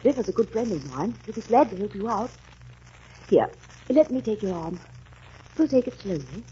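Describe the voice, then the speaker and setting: An actress playing a kind, brisk young woman in a radio drama, coaxing and reassuring. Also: medium pitch, animated, warm tone, natural voice. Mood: gentle, reassuring. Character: kind, brisk young woman dancer befriending a lost soldier. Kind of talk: 1940s radio drama acting. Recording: muffled, noisy 1940s radio recording.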